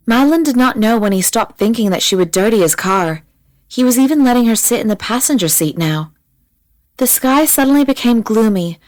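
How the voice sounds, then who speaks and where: A woman reads as an audiobook narrator. Her voice has a middle pitch, and her delivery is measured and dramatic. The recording is very dry and close. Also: a little mellow, dry recording, poor audio.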